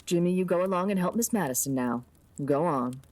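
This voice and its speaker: A woman playing a gentle, worried frontier farm mother in a radio western, hesitant and subdued. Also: fairly low pitch, animated, brisk. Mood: weary, worried. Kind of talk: old-time radio western acting.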